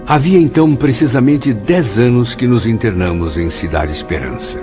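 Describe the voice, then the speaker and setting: An actor with a Brazilian accent playing a reflective male spirit, narrating a radio drama in a grand literary manner. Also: deep voice. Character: grateful, reflective male spirit recounting his afterlife. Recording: dull audio over background music.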